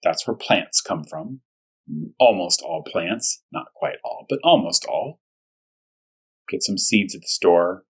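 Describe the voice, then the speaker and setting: A male primary school teacher with a slightly low, rough-edged husky voice leads a storytime for young children. He speaks in plain, short phrases and often repeats words so that little listeners can keep up. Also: lively intonation, slow, poor audio. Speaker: first grade teacher reading aloud. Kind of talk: storytime read-aloud and discussion for young children.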